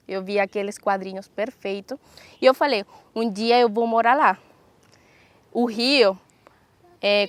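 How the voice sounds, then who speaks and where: A woman recounting her move in an animated, enthusiastic way in a documentary interview. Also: medium pitch, no room sound.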